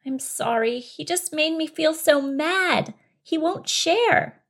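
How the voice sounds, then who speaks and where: A woman's voice: high voice, animated, takes it slowly, a bit bright, voice pushed up, heavily acted. A woman voicing a hot-tempered young male bunny in a storybook, childlike, earnest and a bit whiny. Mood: sulky.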